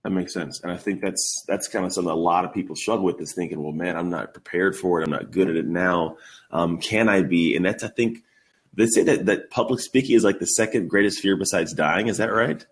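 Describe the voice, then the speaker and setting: A man's voice: low-pitched, fairly quick. A young adult man from the Bay Area hosting a podcast, enthusiastic and slangy with lots of fillers. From Bay Area, USA. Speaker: podcast host. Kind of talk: casual interview chat.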